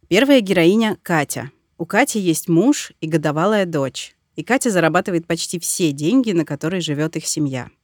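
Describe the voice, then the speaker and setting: A woman's voice: sits low, darkish tone, no room sound. Woman podcast host, dry studio sound. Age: 30s. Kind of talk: scripted podcast narration and interview questions.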